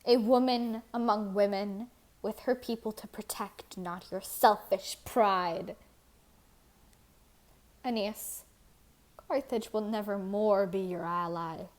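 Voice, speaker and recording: A woman's voice: medium pitch, fairly even intonation, takes it slowly, long pauses, close to an everyday voice. A woman playing a proud, scorned queen of antiquity in a declamatory, theatrical reading of verse drama. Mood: dying defiance.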